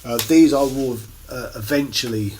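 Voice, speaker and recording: A man giving a casual, unscripted show-and-tell to camera as a record collector, in a halting, rambling way with many pauses. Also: mid-range voice, darkish tone, slight background noise, poor audio. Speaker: record collector presenting finds on his channel.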